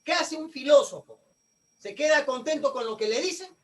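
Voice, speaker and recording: Male teacher, very high voice, slightly off-mic, lecturing and quizzing his class. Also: animated, normal speed, a bit bright, far from the mic. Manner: asks the class questions and answers them himself.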